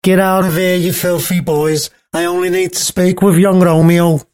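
A man's voice: high voice, flat delivery, moderate pace, a little mellow, voice pushed up, close to an everyday voice. Man doing a fierce bossy old nurse. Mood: threatening. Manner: threatening, crude, snappish.

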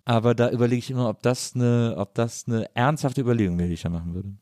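Fast-talking man, casual podcast host. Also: mid-range voice, mellow, no echo, studio quality. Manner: colloquial, rambling. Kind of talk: casual chat.

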